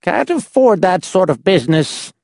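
Brief: man playing a timid, cranky old man, thin whining quaver, pitched high, gravelly and dry. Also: sing-song, moderate pace, darkish tone, no echo, heavily acted. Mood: grudging. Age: older. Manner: fretful, snappish. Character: frail tiny old male caretaker, suspicious and cranky.

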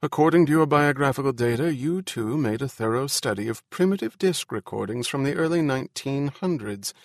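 A man's voice: mid-range voice, lively intonation, measured pace, slightly rough, no echo, clean studio sound. A man voicing a genial, rotund male government official in an audiobook, bubbly and officious.

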